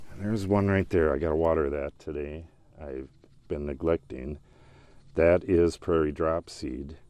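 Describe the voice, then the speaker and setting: A man's voice: extremely low pitch, darkish tone. A man casually walking through his garden and talking about his plants, rambling and thinking aloud as he goes. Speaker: gardening channel host. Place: outdoors in a garden.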